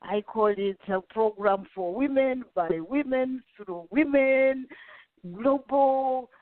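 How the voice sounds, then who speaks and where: A woman host greets her guest with gushing, halting warmth of words. She talks slowly in a fairly high voice. The sound is dry and thin, like a phone line. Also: no echo. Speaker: interviewer, host. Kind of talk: interview over a call.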